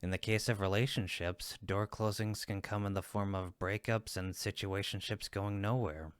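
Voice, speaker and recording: Friendly male cupid roommate, acted by a man for roleplay audio: lower register, teasing yet supportive, emphatic, very dry sound. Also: steady tone, moderate pace, smooth, no echo, close to an everyday voice. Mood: reassuring. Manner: playful, reassuring, emphatic. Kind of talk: roleplay audio comfort acting, one-sided conversation.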